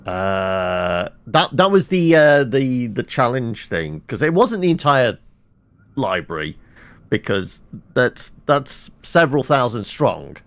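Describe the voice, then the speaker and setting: A man's voice: mid-range voice, sing-song, slow, fairly bright tone. A man doing casual gameplay commentary as a streamer, musing aloud and answering his own questions. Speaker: video game streamer.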